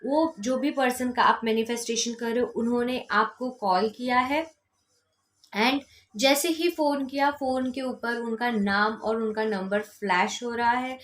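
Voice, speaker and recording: A woman's voice: a little high, steady tone, measured pace, fairly bright tone. An Indian woman giving instructional how-to talk as a coach, repeating key steps and mixing in English words. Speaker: law of attraction coach.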